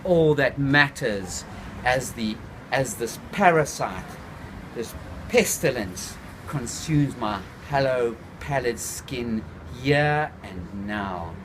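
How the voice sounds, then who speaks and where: A man reading a chapter of his own novel aloud, in slow, brooding first-person narration with a few restarts. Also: medium pitch, expressive, background noise.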